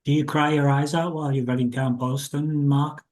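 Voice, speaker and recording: A man's voice: on the high side, little pitch movement, moderate pace, darkish tone. A man hosting a podcast, putting questions to a guest in a casual, playful, teasing way. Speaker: podcast host. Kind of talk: casual interview.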